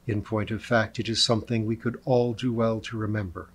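A man voicing a calm, courteous male celestial peacemaker in a storybook, soft-spoken, measured and formal. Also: lowish voice, natural voice. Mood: calm, firm.

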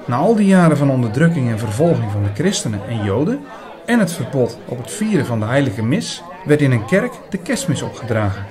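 A man playing an earnest older priest who narrates a radio drama in a measured way, with dramatic pauses. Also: medium pitch, sing-song, over music, natural voice. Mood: earnest, moved. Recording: busy chatter behind the voice. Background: busy restaurant crowd.

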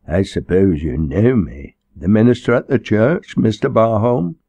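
A man's voice: fairly low pitch, animated, unhurried, warm tone, husky, no room sound, full character voice. A man voicing a serene, self-assured parish minister in an audiobook, formal and sermonizing. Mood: serene, condescending. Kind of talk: audiobook character dialogue.

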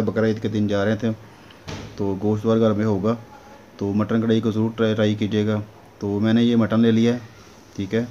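A man's voice: fairly low pitch, warm tone. A man giving a casual, instructional recipe voice-over as a home cooking host, often checking in with the listener. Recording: dull lo-fi sound with clear noise.

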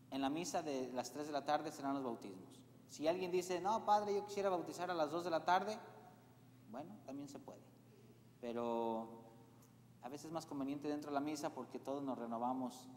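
A man's voice: a little high, slight background noise. A man in his thirties, a priest making chatty announcements to his congregation and checking that they follow. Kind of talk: parish announcements at Mass. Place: large church. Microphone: far camera, church sound system. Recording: echoey church with long reverb.

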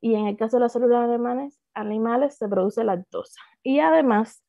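A woman with a Cuban accent walks through her doctoral research on a video call, pausing often with fillers and restarting phrases. Her voice sits fairly high with a gravelly fry at the ends of phrases. The sound is dry and narrow, like a phone line. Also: mellow, no room sound. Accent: Cuban Spanish. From Cuba. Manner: hesitant, many fillers and restarts. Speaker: doctoral student presenting thesis. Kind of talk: academic slide presentation.

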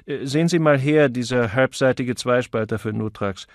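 A man's voice: medium pitch, moderate pace, dry recording, clean studio sound, close to an everyday voice. A man voicing a harried advertising manager in an audiobook, insistent yet unsure and pleading.

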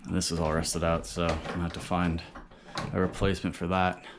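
A man in his thirties vlogging casually from inside a damaged sailboat cabin, swearing freely and filling pauses with ums. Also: low-pitched, flat delivery, background noise. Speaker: sailing vlogger. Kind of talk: casual vlog narration. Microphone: handheld action camera close up.

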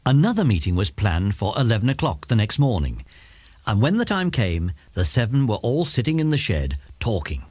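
A man with a British accent narrating a children's audiobook in an expressive, dramatic storytelling style. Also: lowish voice, normal speed, breathy edge. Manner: animated, dramatic.

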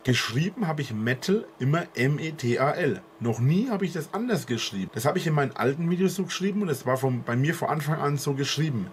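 A man's voice: on the high side, expressive, slight background noise. Earnest man with southern German dialect. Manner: earnest, halting with fillers. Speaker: video creator explaining himself. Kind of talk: monologue to camera.

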